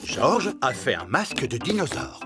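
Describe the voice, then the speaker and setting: A woman narrating a French children's cartoon as an expressive storyteller, speaking in short scripted sentences. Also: extremely low pitch, moderate pace, music in the background.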